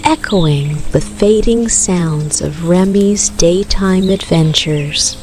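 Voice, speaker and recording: Lady doing a toddler bedtime story, real slow and gentle, music and night nature sounds behind her. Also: fairly low pitch. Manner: soothing, gentle, unhurried storytelling addressed to small children. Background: music with rainforest night sounds of rain, crickets and frogs.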